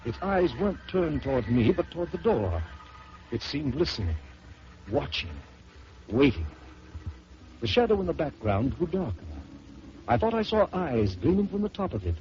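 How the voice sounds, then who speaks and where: A man narrating a first-person horror story in dramatic old-time radio style, swelling and dropping to hushed tones. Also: on the high side. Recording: dull lo-fi old radio recording with hiss.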